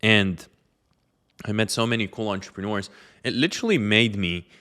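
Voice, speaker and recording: A man's voice: lowish voice, normal speed, pushed and bright. A young man, talking casually about his life and giving advice with many fillers. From Lithuania. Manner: conversational, loose with many fillers. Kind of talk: casual talk to camera.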